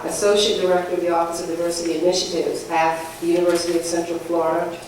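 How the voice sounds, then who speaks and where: A woman introduces the evening's speaker to a gathered audience, in a steady, fairly flat delivery with fillers between names. She is picked up from a distance in a very echoey room with a hiss underneath. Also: low-pitched. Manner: measured, with fillers while reading names. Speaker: library program coordinator introducing a speaker. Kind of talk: formal event introduction. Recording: roomy, distant, hissy room recording.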